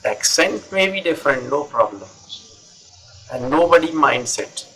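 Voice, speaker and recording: Bright-voiced North Indian man teaching English. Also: fairly high pitch, animated, a little slow, rough recording. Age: middle-aged. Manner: emphatic, repeats phrases for stress. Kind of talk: conversational teaching.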